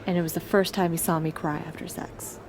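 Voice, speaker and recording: A woman's voice: low-pitched, little pitch movement, brisk, slight background noise. An actress in her twenties playing a guarded, defensive young woman in a film drama, talking in a conversational, evasive way. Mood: confessional, weary. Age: 20s. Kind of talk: naturalistic film drama dialogue.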